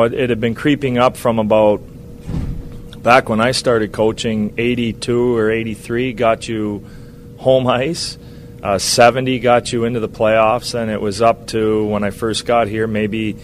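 A man in his fifties, a hockey head coach, talking casually on a radio show, with lots of pauses, "uh"s and restarts. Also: lowish voice, slight background noise. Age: 50s. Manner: rambling, many uhs and restarts.

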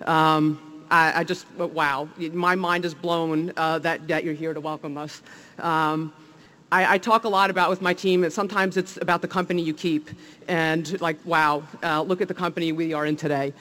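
A man from New Jersey with a clear New Jersey accent, speaking at a public welcome event as a league executive. His voice sits a bit high for a man, and he talks with eager enthusiasm, full of ums, uhs and false starts. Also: flat delivery, moderate pace, bright, forceful tone. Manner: enthusiastic, many fillers and restarts. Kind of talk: public speech at a press event.

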